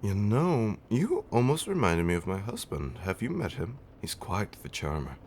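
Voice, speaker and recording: A man playing a centuries-old vampire husband in an intimate comfort roleplay, tender and theatrically fussy, with genteel, old-fashioned wording and dramatic pauses. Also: on the low side, big pitch swings, a little mellow, a little hiss or hum. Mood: playful affection. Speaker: audio roleplay performer.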